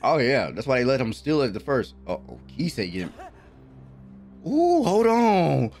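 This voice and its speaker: A man's voice: mid-range voice, wildly swooping pitch, a little slow, a little mellow, soft background music. A young man giving casual, slangy, exclamatory reaction commentary, recorded close on a desk microphone in a small bedroom. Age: young adult. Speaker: anime reaction host. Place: small bedroom streaming setup. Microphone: desk microphone close in front.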